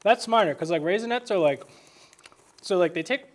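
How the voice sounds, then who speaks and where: A man's voice: sits high, expressive, brisk. A man chatting casually about a film as a guest, explaining things in a loose, rambling way. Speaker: podcast guest, graphic designer.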